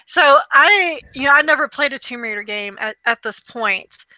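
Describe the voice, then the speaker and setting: A woman with a slightly high, very bright and forceful voice talks casually as a co-host. She is conversational and opinionated, and the recording is dry and close. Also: expressive, normal speed, no room sound, lo-fi sound. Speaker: podcast co-host. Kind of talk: casual chat and commentary.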